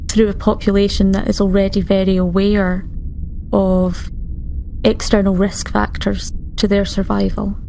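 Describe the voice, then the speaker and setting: A woman's voice: medium pitch, gravelly, dark tone, low-quality recording. A woman playing a frank, concerned starship doctor in a sci-fi tabletop game, speaking formally and with hesitant care. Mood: careful. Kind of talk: improvised sci-fi tabletop roleplay. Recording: faint background noise.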